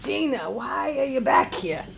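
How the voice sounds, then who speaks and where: A woman plays a weary, earnest middle-aged man who runs a bar. The voice is high and very creaky, performed live on stage with faint noise in the room. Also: lively intonation, unhurried, full character voice. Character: weary, earnest 57-year-old male bar owner. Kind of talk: live comedy table read.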